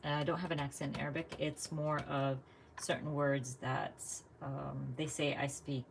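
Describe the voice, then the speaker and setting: A woman's voice: low voice, flat delivery, moderate pace, gravelly, noisy background. A woman from the United States chatting casually while she crafts live, thinking aloud and laughing often. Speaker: craft vlogger.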